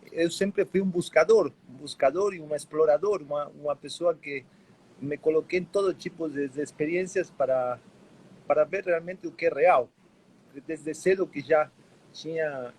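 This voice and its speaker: A man from Argentina speaks Portuguese with a clear Argentine Spanish accent, and his voice sits fairly high for a man. He talks haltingly in a relaxed live chat, repeating words as he searches for them. A faint hiss of noise runs under the recording. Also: rough recording. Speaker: guest. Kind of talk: casual live-stream conversation.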